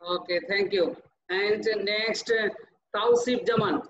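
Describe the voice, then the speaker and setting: A male teacher, calling on students one by one in an online class, slow and halting with many fillers. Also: sits high, steady tone, fairly bright tone, slight background noise. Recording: echoey lo-fi call audio.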